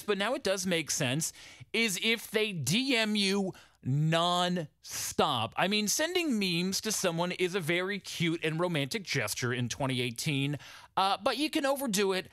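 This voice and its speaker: Animated morning radio man, bright voice. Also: sits high, no room sound, clean studio sound. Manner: emphatic, with repeated words and drawn-out stresses. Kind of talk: upbeat commercial radio DJ patter. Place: small radio studio indoors. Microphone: close studio broadcast microphone.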